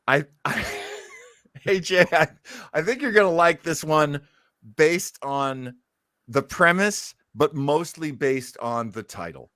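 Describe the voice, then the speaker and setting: A man's voice: a little high, expressive, slow pace, pushed and bright, no echo, studio quality. A man hosting a podcast, chatting casually about old time radio in an enthusiastic, rambling storytelling way. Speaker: podcast host.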